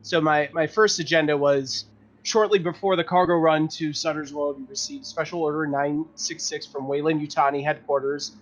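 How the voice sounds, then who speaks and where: A man's voice: fairly high pitch, steady tone, normal speed. A man talking casually as a tabletop game player, informal and rambling in his delivery. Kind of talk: casual game debrief, sometimes reading notes aloud. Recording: dull lo-fi audio with faint noise.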